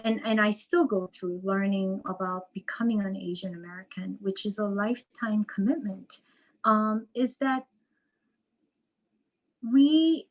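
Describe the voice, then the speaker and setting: A woman guest on a video call, talking in an unhurried, deliberate way. Her voice sits in an ordinary middle pitch. She circles back on phrases as she thinks aloud about her points. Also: low-quality recording. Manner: slow, measured, thoughtful with repetitions. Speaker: psychotherapist and author, guest. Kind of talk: reflective interview answers.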